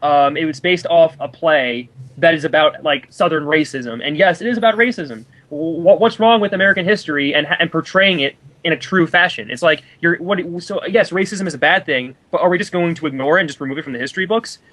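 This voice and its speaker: A man's voice: fairly high pitch, a little fast, hardly any pauses, a bit bright, rough recording. A man giving casual film commentary as a co-commentator, argumentative and rambling in manner.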